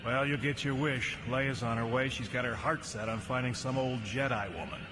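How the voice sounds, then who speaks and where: A brash smuggler pilot played by a man in a sci-fi audio drama. He is gruff, impatient and quick with a wisecrack, in a mid-pitched voice over clear ambient noise. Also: steady tone, close to an everyday voice. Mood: wry.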